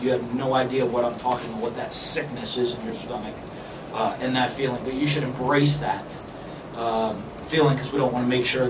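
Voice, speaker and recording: A man in his forties, a football coach answering postgame questions at a press conference, speaking in a measured way with many fillers and restarts. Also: mid-range voice. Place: press room with backdrop. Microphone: podium mic, filmed from a distance. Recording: echoey room, far from the camera, background noise.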